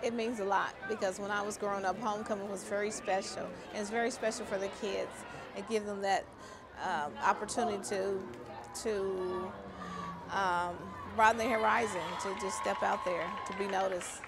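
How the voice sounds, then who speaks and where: A woman speaks softly and haltingly in an outdoor interview, pausing on fillers. A crowd and steady noise surround her clearly. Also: medium pitch.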